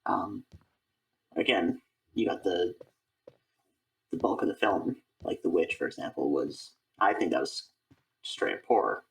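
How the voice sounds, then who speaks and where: Low-voiced man, a little off-mic. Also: animated, unhurried, gravelly, pauses often, distant mic, poor audio. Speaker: interview guest, voice actor. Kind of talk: casual chat.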